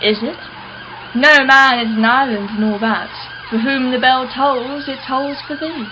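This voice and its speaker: An actress playing a wealthy, privileged art collector in a radio play, with an arch, articulate, sardonic manner. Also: female voice, high-pitched, steady tone, unhurried, muffled recording, noisy background. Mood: arch, probing. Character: haughty, wealthy, privileged female art collector, witty and defensive.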